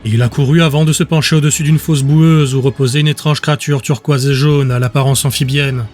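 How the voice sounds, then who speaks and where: A man narrating scripted fiction as an audiobook, with a measured, descriptive storytelling manner. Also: medium pitch, fairly even intonation, darkish tone. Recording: music under the voice.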